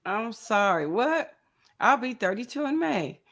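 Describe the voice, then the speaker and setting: A woman's voice: on the high side, very animated, a little slow, a bit bright. A woman in her fifties hosting a casual livestream, chatty and greeting viewers by name with frequent laughs. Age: 50s. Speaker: crochet channel host.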